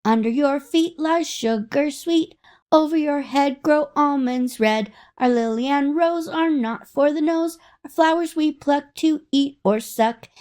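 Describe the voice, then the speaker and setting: A woman's voice: high-pitched, measured pace, a little mellow, voice pushed up. A woman voicing a sweet little candy bird in lilting, sing-song storybook verse. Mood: sweet, inviting.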